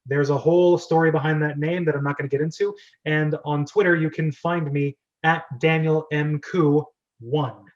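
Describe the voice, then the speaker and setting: Chatty man hosting, slightly high, lo-fi audio. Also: fairly even intonation, normal speed. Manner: chatty, rambling, enthusiastic. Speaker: host of a script-reading club. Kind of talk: casual group chat over video call. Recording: dull, lo-fi call audio.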